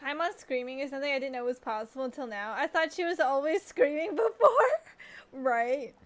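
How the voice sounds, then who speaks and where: Giggly woman streamer, high voice. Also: animated, a little fast. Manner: giggly, amused asides. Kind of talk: casual live reactions over gameplay.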